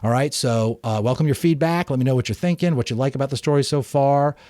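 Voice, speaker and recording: A man's voice: medium pitch, fast talker, dark tone, dry recording, clean studio sound. A middle-aged man hosting a book reading, chatting casually with his commentary. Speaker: audiobook reader and channel host.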